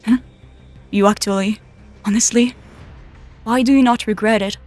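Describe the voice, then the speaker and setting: A woman playing an analytical, questioning character in a fan-dubbed game story, with a measured manner. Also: fairly high pitch, fairly even intonation, darkish tone, natural voice. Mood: incredulous. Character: incredulous, analytical female questioner. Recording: faint background music.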